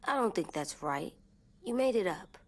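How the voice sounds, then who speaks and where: A woman voicing a solemn little boy in a video game drama, blunt and childlike, with a husky voice. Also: medium pitch, lively intonation, normal speed, natural voice. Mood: guilty, solemn. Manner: blunt, childlike, simple sentences.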